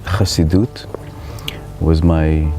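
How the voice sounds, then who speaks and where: A middle-aged man from Israel with an Israeli accent, telling his life story in a reflective, halting way as he searches for words. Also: a bass voice, level tone, dark tone, faint music behind. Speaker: spiritual teacher. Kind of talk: personal life story told to camera.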